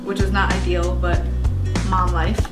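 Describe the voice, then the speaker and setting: A woman's voice: on the low side, level tone, unhurried, echoey room, loud music behind the voice. A young woman from Puerto Rico chatting casually as a vlogger at home, upbeat and full of "like" and "um". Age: young adult. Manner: chatty, upbeat, lots of fillers like "like" and "um". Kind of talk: casual vlog chat and voice-over. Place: small home kitchen, indoors.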